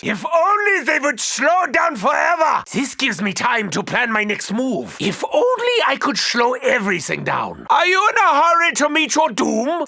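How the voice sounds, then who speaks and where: An actor playing an arrogant, menacing German-accented mad doctor in a video game, with grandiose, formal, theatrical delivery. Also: male voice, very high-pitched, pushed and bright, exaggerated voice. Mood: defiant, scornful. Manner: grandiose, formal, precisely worded. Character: arrogant, menacing male mad doctor battling undead hordes.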